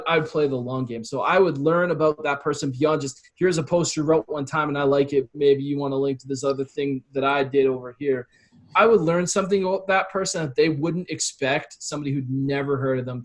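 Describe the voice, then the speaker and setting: A man giving advice as an interview guest, explaining his approach casually and conversationally. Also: on the high side. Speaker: interview guest, copywriting expert.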